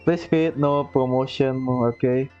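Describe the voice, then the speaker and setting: A game streamer making flat, repetitive casual remarks to viewers over gameplay. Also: medium pitch, measured pace, dull audio, faint music behind.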